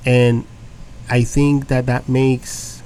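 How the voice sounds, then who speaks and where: A young man co-hosting a laid-back movie podcast at an ordinary middle pitch. He talks loosely, swears freely and laughs a lot. His speech has the relaxed flow of a chat between friends. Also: extremely slow, slight background noise. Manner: loose and profane, laughs often. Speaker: podcast co-host.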